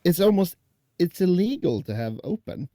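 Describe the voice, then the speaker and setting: A man's voice: high voice, very animated, moderate pace, mellow. A man from Norway in his thirties, chatting bluntly over a voice call while gaming. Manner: blunt, swears casually. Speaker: stream guest. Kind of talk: casual gaming chat.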